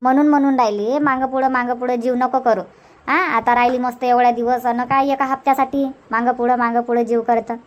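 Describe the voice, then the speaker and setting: Chatty village mother for cartoon comedy, female performer: high voice, Varhadi Marathi accent, bossy but easygoing, rambling delivery. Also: flat delivery, fairly quick, highs cut off, natural voice. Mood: gently scolding. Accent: Varhadi Marathi (Vidarbha). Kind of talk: homemade cartoon comedy dub.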